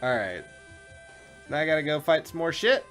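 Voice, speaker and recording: A man's voice: a little high, big pitch swings, normal speed, fairly bright tone. A man co-hosting a game show, giving casual, informal and sweary commentary as he plays. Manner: informal, profane. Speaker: video game show co-host and player. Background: game music.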